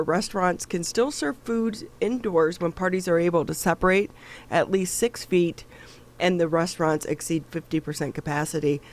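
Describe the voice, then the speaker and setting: A talk show host with a stuffy nose, reading the news and chatting casually with a co-host, with lots of fillers and restarts. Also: mid-range voice. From Michigan.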